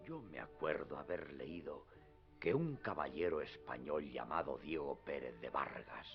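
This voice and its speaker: A proud, deluded old knight-errant from a radio play. His voice is very low and his delivery grandiose, solemn and declamatory. The recording is dull and lo-fi, with music faintly behind it. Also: expressive, a little slow, gravelly, full character voice. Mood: boastful, resolute. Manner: declamatory, lofty, archaic speech. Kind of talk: radio theatre acting.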